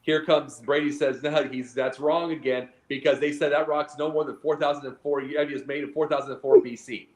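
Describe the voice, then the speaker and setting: A man's voice: fairly high pitch, fairly even intonation, brisk, hardly any pauses, a little mellow. A man from a small town in Illinois, co-hosting a casual talk show and telling rambling, digressive stories. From Quincy, Illinois, USA. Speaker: co-host. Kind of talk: casual radio show chat. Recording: roomy echo.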